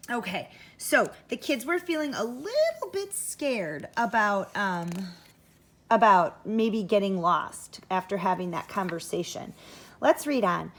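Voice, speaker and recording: American female primary teacher, interactive read-aloud, instructive and direct with young listeners, highly expressive intonation. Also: a little slow. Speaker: first grade teacher reading aloud to pupils. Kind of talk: interactive children's story read-aloud with comprehension questions.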